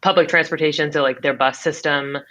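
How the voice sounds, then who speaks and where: A woman's voice: sits low, fairly even intonation, fairly quick, fairly bright tone. A woman hosting a podcast, chatting in a casual, upbeat way with plenty of fillers. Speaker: podcast host. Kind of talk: casual interview chat. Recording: dull lo-fi call audio.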